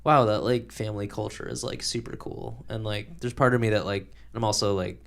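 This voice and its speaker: Young male podcast host, deep and creaky, easygoing rambling talk. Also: fairly even intonation, a little fast. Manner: casual, agreeable, many "like" fillers. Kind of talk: casual podcast conversation.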